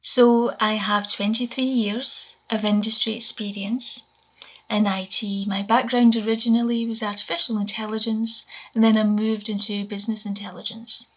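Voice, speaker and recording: A woman in her forties with a Scottish accent and a quiet voice, giving a measured conference talk from home over a webcam. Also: mid-range voice, little pitch movement. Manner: measured, explanatory presenting. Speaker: conference speaker. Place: home room, indoors. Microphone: webcam video call. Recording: dull lo-fi webcam audio.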